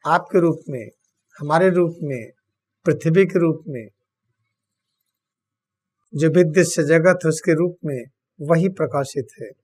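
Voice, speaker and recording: Very slow-speaking Indian meditation teacher, a man. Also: on the high side, a little mellow, slightly rough. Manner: deliberate, solemn, with long pauses between short phrases. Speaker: spiritual teacher leading guided meditation. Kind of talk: guided meditation instruction.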